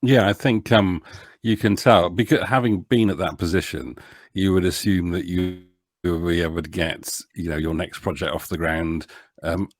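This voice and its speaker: A man giving his opinions in a casual podcast discussion, feeling his way haltingly through his explanations. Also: low voice, steady tone, dry recording, clean studio sound. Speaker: podcast panellist.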